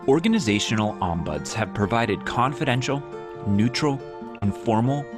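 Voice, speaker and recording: A man's voice: medium pitch, animated. A man doing polished, scripted narration for an explainer video, played back during an online talk. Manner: polished, measured delivery. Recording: played over a video call with loud music.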